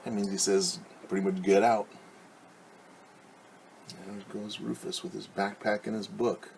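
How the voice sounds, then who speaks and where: A man who is a teacher reading a picture book aloud to young children, pausing to explain things simply. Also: on the low side, little pitch movement, vocal fry, slight background noise. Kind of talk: children's storytime read-aloud with asides.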